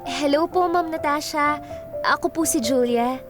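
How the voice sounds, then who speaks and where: A woman's voice: sits high, unhurried, music in the background. A woman playing a friendly, candid college student in a Filipino radio drama, chatty and slangy in manner. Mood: polite.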